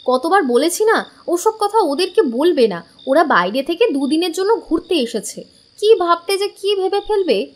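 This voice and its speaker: A woman's voice: very high voice, big pitch swings, normal speed. A woman playing a protective village aunt in an audio drama, fussing and nagging. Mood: scolding. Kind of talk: Bengali audio drama acting.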